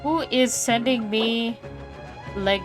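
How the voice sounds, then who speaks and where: A woman's voice: a little high, slow. A woman game streamer giving casual, slangy, reactive commentary on a playthrough. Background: game background music.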